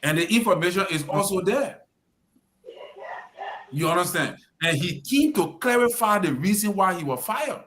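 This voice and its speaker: A Liberian man with a voice a bit higher than most gives political commentary on the radio. He lectures emphatically, pressing each point home in a Liberian English accent. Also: lively intonation, normal speed, fairly bright tone, a little hiss or hum. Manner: lecturing, emphatic, addresses the president directly. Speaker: radio talk show panelist.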